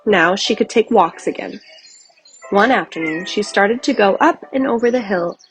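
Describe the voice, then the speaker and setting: A woman narrating a children's storybook aloud in an expressive, careful storytelling manner. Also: medium pitch, moderate pace, soft background music, rough recording.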